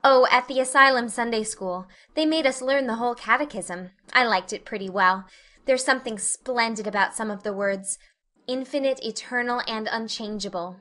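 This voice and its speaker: A woman's voice: a little high, moderate pace, pushed and bright. A woman reading an audiobook, voicing an eager, imaginative young girl who rambles earnestly. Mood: dreamy.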